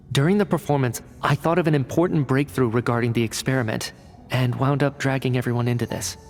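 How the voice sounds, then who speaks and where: An actor playing an analytical male researcher in a video game cutscene, explaining in a measured, cerebral way. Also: mid-range voice, lightly acted. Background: game score music.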